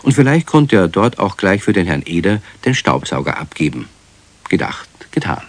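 A man narrating a children's radio play as a clear, measured storyteller. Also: low-pitched, sing-song.

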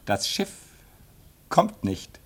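A restless, brooding young man. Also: drawn-out words. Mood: grim. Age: young adult. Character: restless, bitter 25-year-old man at polar station. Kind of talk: old German radio play acting.

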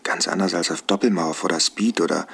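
An actor playing a confused, earnest therapy patient in a satirical radio play, hesitant and groping for words. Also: male voice, low voice, steady tone, fairly quick, creaky, fairly bright tone, husky, heavily acted. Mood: bewildered, confessional.

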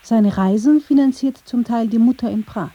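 Female feature narrator, very mellow and soft-edged, scripted delivery, slightly dull sound. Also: fairly high pitch, normal speed, breathy edge.

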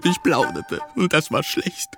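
A man's voice: a little high, sing-song, a little slow, music in the background. A man playing a lovelorn, simple bird-catcher, plaintive and comically self-pitying in theatrical radio drama verse.

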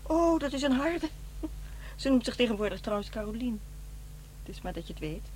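A woman's voice: a little high, expressive, fairly quick, a little mellow, close to an everyday voice. An actress playing a matter-of-fact, defensive middle-aged divorced mother in a radio play, with a dry, blunt delivery. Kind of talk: Dutch radio drama acting. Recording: noisy, humming radio play recording.

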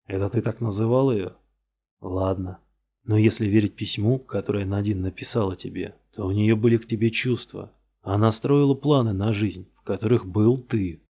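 A man voicing a weary male police detective in a storybook reading, interrogating bluntly in a low, husky voice. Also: fairly even intonation, normal speed, dark tone.

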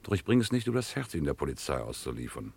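A lofty, self-important man in a 1960s radio crime play. His voice is very deep and husky, and he declaims floridly, as if lecturing a slower companion. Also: big pitch swings, a little mellow, heavily acted. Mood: lofty, indignant. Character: pompous philosophizing man named Henry, lofty and bossy.

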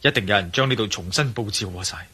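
A man's voice: lowish voice, quick pace, pushed and bright, slightly rough, heavily acted. A man playing a bold, suspicious adventurer in a radio drama, speaking Hong Kong Cantonese in a commanding manner. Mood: suspicious, musing.

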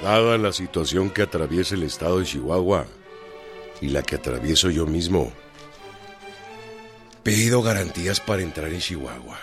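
An actor with a Mexican accent playing a proud, blunt revolutionary in a radio drama, speaking emphatically. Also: male voice, low voice, expressive, moderate pace, pauses often, over music. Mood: formal, insistent.

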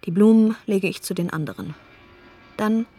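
An actress in a radio drama voicing a worn-out mother who speaks quietly, sometimes in a near whisper. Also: mid-range voice, moderate pace, breathy edge, soft background music. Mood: subdued. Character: worn-out mother at a breaking point. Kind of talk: radio drama inner monologue.

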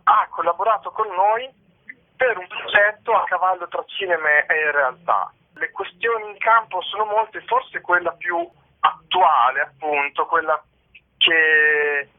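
A man being interviewed as a theatre company member, explaining his work in a halting, thoughtful way as he searches for words. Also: high voice. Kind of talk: spoken interview, explaining stage works. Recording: narrow, tinny phone line.

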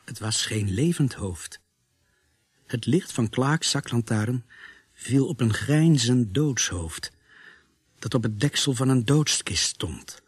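An actor narrating a macabre radio-play thriller in a grave, dramatic, husky voice. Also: male voice, medium pitch, animated, takes it slowly. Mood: grave, ominous.

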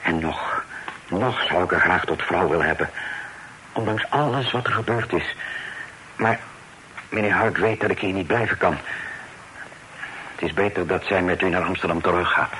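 Earnest, low-voiced devoted suitor. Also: male voice, normal speed, pauses often, bright, forceful tone. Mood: earnest, resigned. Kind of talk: radio drama acting. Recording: noisy old radio play recording.

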